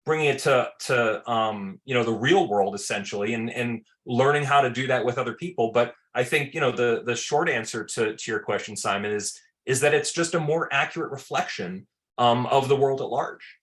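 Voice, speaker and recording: A man's voice: medium pitch, normal speed. A man talking loosely in an interview about his film work, with many fillers and restarts. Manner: loose, filler-heavy, repeats words; says he has a very loud voice. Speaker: film director. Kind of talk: casual interview answers.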